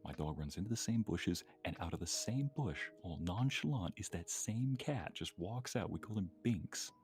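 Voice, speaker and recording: Low-voiced man in casual chat. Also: expressive, moderate pace, a little mellow, soft background music. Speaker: streamer, guest.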